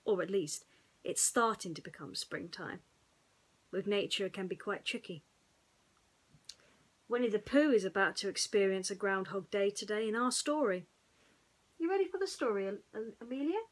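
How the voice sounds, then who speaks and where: A woman storyteller chatting to young children and narrating a bedtime story in an expressive way. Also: medium pitch, moderate pace, pauses often.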